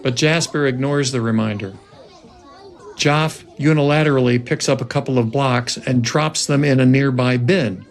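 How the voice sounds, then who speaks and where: Mid-pitched narrator reading screenplay directions. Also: moderate pace, some chatter behind. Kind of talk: scripted screenplay narration at a table read.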